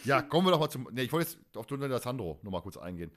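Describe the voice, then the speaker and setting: A man's voice: medium pitch, big pitch swings, racing, fairly bright tone. A man hosts a fan podcast in a casual, chatty way. His speech is colloquial and emphatic, and he tends to ramble as he goes. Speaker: podcast host.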